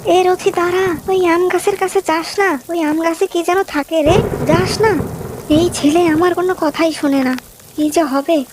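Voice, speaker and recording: A woman's voice: extremely high pitch, fairly even intonation, a little slow, very noisy. A woman voicing a brave, caring village girl and big sister in a cartoon, with an earnest manner. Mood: anxious. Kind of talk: animated cartoon voice acting.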